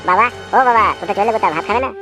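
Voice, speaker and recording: A woman's voice: mid-range voice, rapid-fire, natural voice. A woman voicing a scheming, foul-mouthed adult son in a comedy cartoon, coarse, nagging and wheedling. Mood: questioning. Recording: music playing behind the voice.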